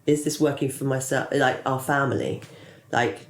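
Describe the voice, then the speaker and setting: A woman's voice: very deep voice, steady tone, normal speed. A woman in her forties, a PR consultant and interview guest, chatting casually and rambling with many restarts. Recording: echoey room.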